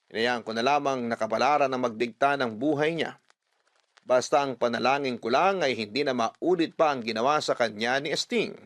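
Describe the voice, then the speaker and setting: A Filipino man with a mid-pitched voice hosts a radio drama, reading letters as dramatic first-person narration. His delivery is earnest and sentimental. The sound is close and dry. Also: no echo.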